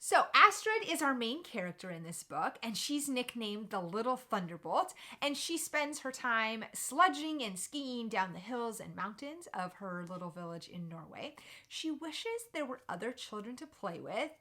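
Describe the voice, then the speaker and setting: Bright, animated woman recommending books. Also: on the high side, normal speed. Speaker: host of a children's book recommendation channel. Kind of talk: upbeat scripted book review to camera.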